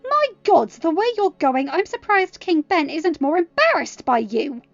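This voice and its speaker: A woman's voice: very high-pitched, big pitch swings, unhurried, vocal fry, no room sound, lo-fi sound, pitched above the performer's own voice, full character voice. A woman voicing a contemptuous woman who berates people sharply in a story reading. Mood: angry, contemptuous. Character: scolding, contemptuous woman on speakerphone.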